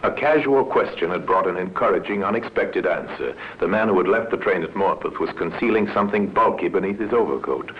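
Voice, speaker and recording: A man giving terse, deliberate, dramatic scripted narration in the style of old radio. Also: sits low, expressive. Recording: muffled old radio recording with noise.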